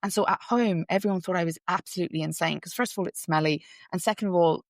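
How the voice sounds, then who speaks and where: Female interview guest, Irish-London mixed accent, gravelly, reflective, dry close recording. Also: on the low side, fast, dry recording. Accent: Irish-English mix, heard as English in Ireland. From Ireland, raised partly in London. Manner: thoughtful, many restarts and fillers.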